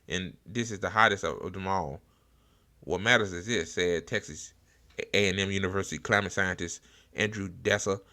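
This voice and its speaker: A man hosting an online talk show, reading news articles aloud haltingly with stumbles and repeats and adding informal, salty asides. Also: fairly low pitch, sharp tone.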